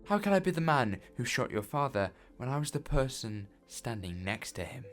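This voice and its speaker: Man as eccentric time-traveller sleuth, wry and bossy, mid pitch, faint music. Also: very animated, normal speed, natural voice. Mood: commanding. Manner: wry, teasing, commanding. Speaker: detective lead. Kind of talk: fan audio drama acting.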